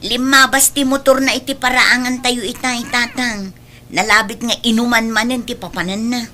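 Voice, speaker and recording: An actress playing a dutiful, exasperated young adult daughter and sister in a radio drama, earnest in manner. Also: female voice, on the high side, steady tone, moderate pace, pushed and bright. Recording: faint background noise.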